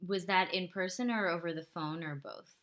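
A woman's voice: fairly low pitch, moderate pace, fairly bright tone. A woman interviewing a guest, asking open questions and adding short, supportive remarks. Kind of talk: casual interview.

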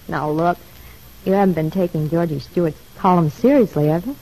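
A woman playing a glamorous, friendly and coy young club performer in an old-time radio soap, husky-voiced. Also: lowish voice, moderate pace, mellow, poor audio. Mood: coy, tentative.

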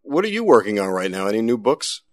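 A man's voice: fairly low pitch, very animated, quick pace, dry recording. An American man hosting a podcast, interviewing and presenting in an articulate, conversational way with somewhat wordy phrasing.

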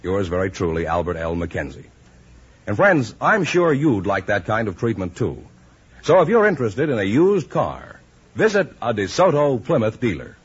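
Animated, husky American male radio pitchman. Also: moderate pace. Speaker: announcer reading a sponsor letter. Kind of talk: scripted 1950s radio advertisement reading. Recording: muffled old radio recording.

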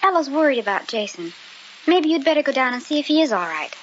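A woman's voice: sits high, sing-song, fast talker, slight background noise. An actress playing a caring, soothing married woman in an old-time radio drama. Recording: muffled old radio recording.

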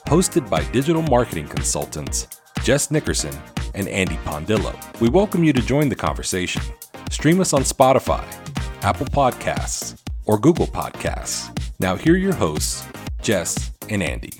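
Deep, lively man announcing over music. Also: moderate pace. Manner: lively, promotional. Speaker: podcast intro announcer. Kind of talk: scripted announcement. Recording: intro over loud music.

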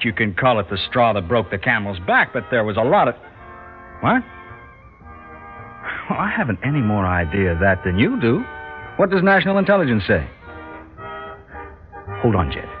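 A man playing a harried American diplomat, informal and wry, talking as if on the phone in a classic radio drama. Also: mid-range voice. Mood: exasperated, wry. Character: harried American diplomat weighing a no-win choice. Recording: dull old radio recording.

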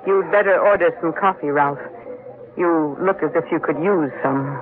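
An American actress playing a smooth, falsely solicitous schemer in an old radio drama. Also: female voice, low voice, normal speed, over loud music, lightly acted. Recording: tinny, noisy old radio recording.

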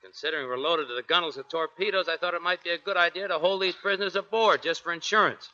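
Cocky young-spirited seaman: piercingly bright, rapid, insolent, higher-pitched, in vintage radio drama. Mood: urgent. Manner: fast-talking, insolent, sharp. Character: cocky, clever, defiant navy seaman. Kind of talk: 1940s radio drama acting. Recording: dull 1940s radio broadcast recording.

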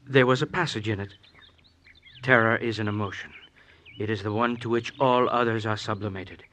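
A man's voice: fairly low pitch, steady tone, moderate pace, a little hiss or hum, lightly acted. An actor playing an intense young male zealot, formal, courtly and fervent, in an old-time radio drama. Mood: fervent, worshipful. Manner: fervent, formal, swelling from hushed to forceful.

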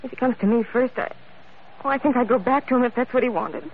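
An actress playing a frightened, clinging young niece in a radio melodrama, hesitant and pleading. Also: female voice, a little high, fast, husky. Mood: anxious, wistful. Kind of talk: 1940s-50s radio melodrama acting. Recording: dull, noisy vintage radio recording.

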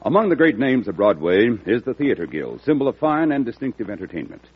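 Male announcer, vintage radio promo style, very animated pitch, husky, phone-like sound. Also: fast talker. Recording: tinny, narrow-band old radio recording.

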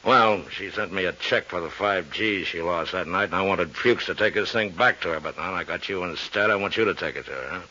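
An actor playing a shifty, world-weary gambling boss and former bootlegger in a 1950s radio drama, gruff, blunt and slangy, with an American accent. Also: male voice, low voice, a little fast, few pauses, pushed and bright. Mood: shifty, confiding. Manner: blunt, slangy tough-guy talk. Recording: dull old radio recording.

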